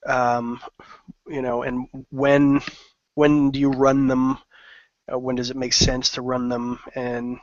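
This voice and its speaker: A man's voice: mid-range voice, fairly bright tone, no room sound. Man on dry, dull meeting audio. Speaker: meeting participant giving feedback. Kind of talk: casual meeting discussion.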